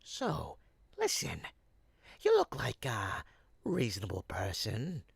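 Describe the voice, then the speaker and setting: Raspy, sly old goblin peddler. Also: male voice, lowish voice, huge pitch swings, takes it slowly, dry recording, heavily acted. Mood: furtive, coaxing. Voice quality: raspy, creaky. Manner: smooth-talking, wheedling, folksy street hawker. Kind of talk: character roleplay audio.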